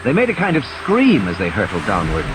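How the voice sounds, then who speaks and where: A man's voice: fairly low pitch, huge pitch swings, fairly quick, noisy background. A man giving a dramatic scripted narration of a story as an audiobook narrator. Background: music and sound effects.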